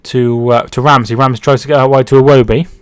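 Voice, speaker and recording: Brief: man, stream host, chatty and halting, dry close sound, middling pitch. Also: steady tone, smooth, no echo. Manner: chatty, repeats words and restarts while reading chat. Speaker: streamer hosting a football watch-along. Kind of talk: casual live-stream chat with commentary.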